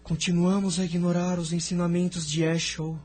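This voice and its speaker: An actor playing a solemn, sorrowful seer who recounts his world's past in a reverent, drawn-out manner for an audio drama. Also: high-pitched, flat delivery, darkish tone, faint music behind. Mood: solemn, regretful.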